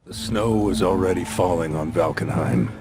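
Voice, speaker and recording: An actor playing a stern, proud knight commander and lawbringer, narrating solemnly and with command in a game. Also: male voice, low-pitched, normal speed. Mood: resolute. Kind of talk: dramatic scripted game narration.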